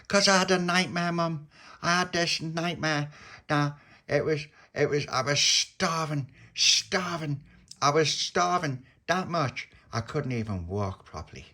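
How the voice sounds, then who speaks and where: A man's voice: on the high side, unhurried, pushed and bright, pitched above the performer's own voice. A man doing an earnest boy. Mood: dramatic. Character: young boy, dramatic and earnest.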